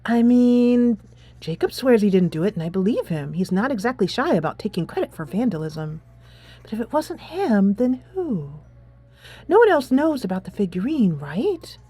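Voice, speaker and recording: Woman playing a lively, skeptical high school girl. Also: normal speed, slightly rough. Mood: puzzled. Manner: casual, questioning.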